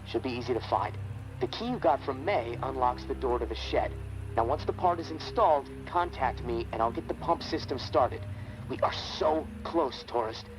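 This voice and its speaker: An actor playing an excitable, wisecracking survivor and technician in a video game, chatty and jittery. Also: male voice, mid-range voice, normal speed, over music, natural voice, rough recording. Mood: hopeful, wry.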